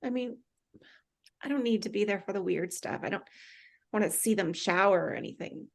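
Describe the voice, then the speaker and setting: An actress playing a tender, wistful wife and mother with a creaky voice in a drama table read. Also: mid-range voice, fairly quick. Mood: tender, scared.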